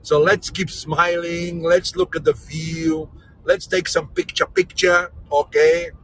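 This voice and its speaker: Jokey, bright-voiced tour guide man. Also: high voice, slow pace, vocal fry, rough recording. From Brazil, raised in Austria. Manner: jokey, energetic, non-native English. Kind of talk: live virtual tour, casual chat with viewers. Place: in a moving vehicle. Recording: vehicle noise in background.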